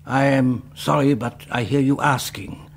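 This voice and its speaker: Raspy old Norwegian stranger. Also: male voice, medium pitch, fairly even intonation. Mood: eager. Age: older. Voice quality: raspy, gravelly. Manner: halting, formal English, questioning. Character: elderly, eager, courteous Norwegian stranger and translator. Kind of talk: radio drama acting.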